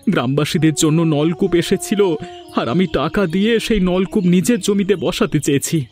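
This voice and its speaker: A man's voice: high voice, brisk, darkish tone, faint music behind, lightly acted. A man voicing an aggrieved, reproachful village farmer and husband in a dramatic cartoon style. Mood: regretful. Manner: dramatic, lamenting. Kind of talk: Bengali cartoon voice acting.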